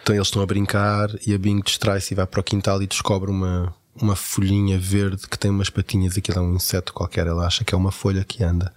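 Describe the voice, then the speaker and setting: A man's voice: low-pitched, completely flat delivery, fast talker, hardly any pauses. A man with a European Portuguese accent casually retelling a story and acting out quoted lines.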